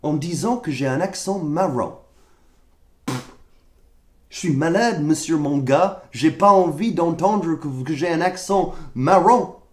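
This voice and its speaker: A young American man with a fairly high voice, speaking French with a clear American accent. He rants to the camera in an exasperated, sarcastic way about being sick and coughing, and the room around him rings with echo. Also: takes it slowly, a little mellow, slight background noise. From United States. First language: English. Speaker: vlogger. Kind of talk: comedic rant to camera. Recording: echoey room.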